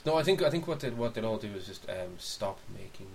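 A man's voice: lowish voice, lively intonation, quick pace, darkish tone. A man co-hosting a podcast in casual chat, informal and opinionated, rambling as he gives his views and recommendations. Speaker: podcast host. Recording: faint background hiss.

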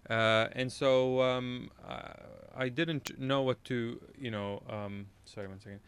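A man's voice: mid-range voice, fairly even intonation, measured pace, fairly bright tone, slight background noise. A man from Iraq, a radio show guest, telling a casual anecdote in a rambling way, often correcting himself and laughing at his own story.

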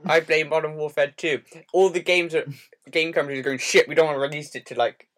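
A man's voice: on the high side, steady tone, fast talker, a bit bright. A young man hosting a casual gaming podcast, stumbling on his words now and then and swearing freely. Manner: swears freely, stammers on restarts. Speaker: podcast host.

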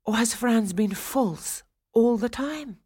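A woman's voice: mid-range voice, fairly even intonation, measured pace, dark tone, husky, no room sound, studio quality, pitched above the performer's own voice. A woman voicing a jealous, heartbroken young bride-to-be in a storybook tale, wounded and dramatic. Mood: despairing.

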